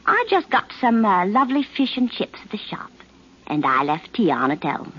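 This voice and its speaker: An actress playing a forward, insinuating widow with a working-class English accent, husky and coaxing, in 1940s radio drama. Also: female voice, medium pitch, sing-song, normal speed. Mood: coaxing, knowing. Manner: coaxing, knowing. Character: insinuating, forward Cockney widow, sly and sociable. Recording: muffled 1940s radio recording.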